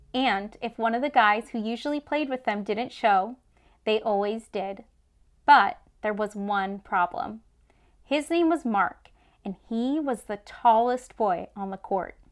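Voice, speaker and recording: A woman reading a children's story aloud with expressive storybook narration. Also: on the high side. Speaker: children's read-aloud host.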